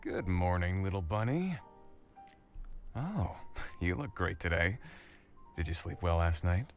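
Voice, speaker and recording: An actor playing a protective, gently teasing male detective love interest in a visual novel game, soothing and indulgent. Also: deep voice, lively intonation, measured pace. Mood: teasing, affectionate. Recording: music behind the voice.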